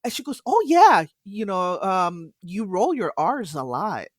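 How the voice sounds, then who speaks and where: Animated woman co-hosting, lively pitch. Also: measured pace, dry recording, clean studio sound. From United States. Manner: animated storyteller, laughs and swears. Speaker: podcast co-host. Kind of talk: casual anecdote telling.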